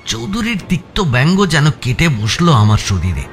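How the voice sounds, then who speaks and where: A man's voice: a little high, very animated, moderate pace, soft background music. A man playing an anxious amateur sleuth, narrating his own suspense story in a dramatic, scripted style. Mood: rueful narration. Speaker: first-person narrator.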